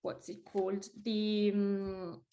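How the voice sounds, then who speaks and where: A woman's voice: mid-range voice, flat delivery, takes it slowly, mellow. A woman whose first language is Italian, talking on a video call as a guest interior designer, chatty and full of fillers and restarts. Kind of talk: casual video call chat.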